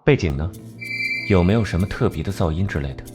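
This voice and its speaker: A cool, cerebral investigator from an animated crime series. His voice sits fairly low and moves with lively inflection as he lays out deductions in measured, analytical phrases. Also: over music. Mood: probing, composed. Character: cold, clever male criminal investigator reasoning through cases. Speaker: detective section chief. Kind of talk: animated crime drama dialogue.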